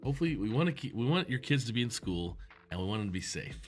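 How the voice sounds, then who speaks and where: A man's voice: mid-range voice, sing-song, fast, faint music behind. A man from Oklahoma hosting a casual interview, chatty and rambling as he talks. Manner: chatty, rambling, laughs easily. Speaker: podcast host.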